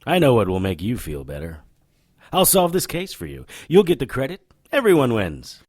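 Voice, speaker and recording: An actor playing a cocky, world-weary supernatural detective in a noir audio drama, sardonic and flippant. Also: male voice, mid-range voice, huge pitch swings, normal speed, a little mellow, no echo, clean studio sound. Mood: flirtatious, teasing.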